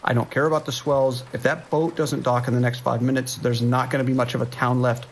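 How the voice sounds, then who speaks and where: A man's voice: medium pitch, little pitch movement, fairly quick, a little hiss or hum, lightly acted. A man playing a desperate police dispatcher under siege in a horror audio drama, talking in urgent, clipped, commanding emergency-radio style. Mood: urgent, pleading.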